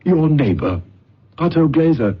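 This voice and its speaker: A pompous, anxious English lord. His husky voice blusters and stammers in old radio drama style, on a muffled, lo-fi recording. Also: on the high side, big pitch swings, unhurried, full character voice. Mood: agitated. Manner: blustering, stammering, clipped phrases.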